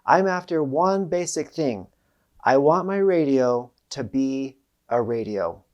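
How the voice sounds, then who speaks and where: A man's voice: medium pitch, animated, slow pace, darkish tone, dull audio. A man hosting a casual product review, talking to viewers in a plain-spoken, explanatory way. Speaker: host of a preparedness product review channel.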